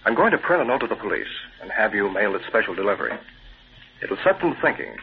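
Shrewd newspaper boss, tinny radio drama. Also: male voice, fairly low pitch, sing-song. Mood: resolute. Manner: measured, authoritative, thoughtful. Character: calm, shrewd male newspaper boss, secretly a crimefighter. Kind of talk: old-time radio drama acting. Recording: tinny, hissy vintage radio recording.

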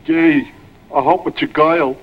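An actor playing a dim-witted, naive, lovelorn sidekick in a 1940s radio comedy. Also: male voice, a little high, fairly even intonation, measured pace, lightly acted. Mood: naive, wistful. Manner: dopey, naive, starts with a stray "duh"-like word. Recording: muffled, lightly noisy old radio recording.

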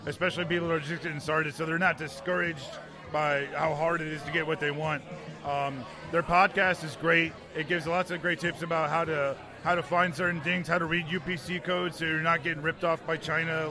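A man from the United States being interviewed in a bar, telling stories in a casual, conversational way. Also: fairly high pitch. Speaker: interview guest, toy collector. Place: bar in New York. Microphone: handheld recorder.

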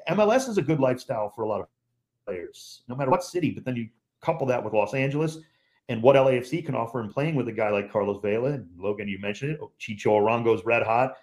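A man's voice: mid-range voice, lively intonation, fast, darkish tone. Guy who does play-by-play on the radio, guesting on a podcast, pushy and emphatic, keeps repeating his point. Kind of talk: casual podcast chat.

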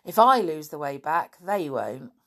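A woman voicing an excitable, emotional young circus boy in a children's storybook. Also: sits low, unhurried, exaggerated voice. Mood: delighted. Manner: exclamatory, emotional. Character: excitable circus boy, friendly, easily distressed.

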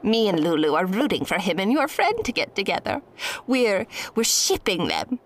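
An actress playing a nosy, kindly older woman who worries aloud in quick strings of questions. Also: mid-range voice, sing-song, slight background noise. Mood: worried. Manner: fretful rapid questions. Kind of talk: audio drama acting.

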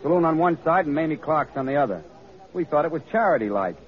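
A man's voice: a little high, lively intonation, fast, lightly acted. An actor playing an eager, worried henchman with an American accent, slangy and deferential in an old radio drama. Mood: worried insistence. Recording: muffled old radio recording.

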